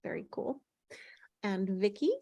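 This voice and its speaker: A woman's voice: medium pitch, unhurried, mellow. A woman hosting an online table read in casual, slightly scattered chat over a video call.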